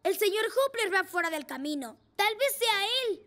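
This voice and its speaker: Very high-voiced eager boy motorcycle. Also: big pitch swings, moderate pace, fairly bright tone, heavily acted. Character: eager, polite little boy rescue motorcycle. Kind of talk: animated children's dub. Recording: cartoon music behind.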